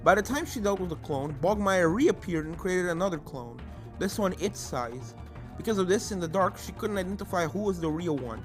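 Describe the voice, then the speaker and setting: A fan-fiction reader narrates an adventure horror story with drama. The voice is mid-pitched and sits over video game music. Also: expressive, normal speed.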